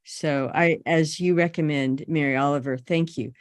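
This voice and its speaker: Female panelist, reflective and halting, slightly low register, dry recording with little room sound. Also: fairly even intonation, dry recording. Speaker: poet on a panel. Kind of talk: conversational panel remarks. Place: online video call.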